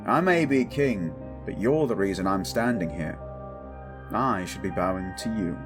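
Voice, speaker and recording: A man's voice: deep voice, expressive. A man playing a protective, stubborn young king in a romantic audio drama, formal, earnest and tender. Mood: tender, teasing. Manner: formal, measured, earnest phrasing. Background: background music.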